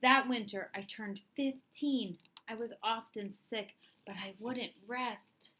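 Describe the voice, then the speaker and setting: A woman reading a children's story aloud in an expressive narrating style. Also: fairly high pitch, slow, a little hiss or hum. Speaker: storybook reader.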